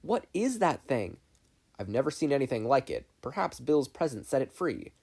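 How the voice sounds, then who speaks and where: A man voicing a brisk, analytical older great-uncle in a story reading. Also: mid-range voice, big pitch swings, creaky, darkish tone, husky, voice pushed up, full character voice. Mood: puzzled.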